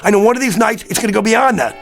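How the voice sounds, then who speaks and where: A man's voice: sits high, racing, gravelly, fairly bright tone, faint music behind. An actor playing an agitated, desperate American man in a radio drama, pleading insistently in streetwise talk. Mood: troubled, insistent. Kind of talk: vintage radio drama acting.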